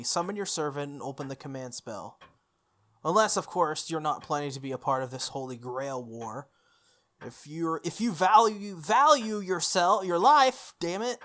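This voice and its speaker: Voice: mid-range voice, sharp tone. A let's play host voicing a stern, terse priest who gives curt, formal orders in a visual novel. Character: stern, clinical priest giving curt warnings.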